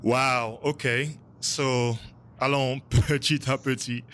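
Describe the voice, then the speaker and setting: Relaxed male podcast host. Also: medium pitch, extremely slow, a little hiss or hum. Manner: relaxed, hesitant questions with frequent restarts. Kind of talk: casual interview.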